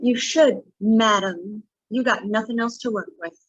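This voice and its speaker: High-voiced, sassy scheming dame. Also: female voice, unhurried, no echo, lo-fi sound. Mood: scornful. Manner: mouthy, sarcastic. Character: sassy, scheming, jaded female double-crosser. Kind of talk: 1940s radio detective drama acting.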